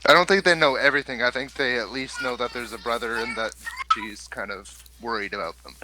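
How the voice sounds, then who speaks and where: A man's voice: mid-range voice, a little fast, bright, forceful tone, slight background noise, rough recording. A man running a tabletop game, chatting casually with his players as game master.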